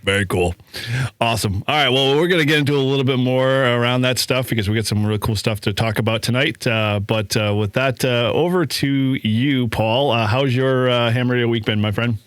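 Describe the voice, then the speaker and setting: Man, podcast host, mid-pitched, jovial and slangy, very dry close sound. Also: brisk, fairly bright tone, smooth, no room sound. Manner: jovial, laughs often, slangy. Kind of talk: casual panel chat.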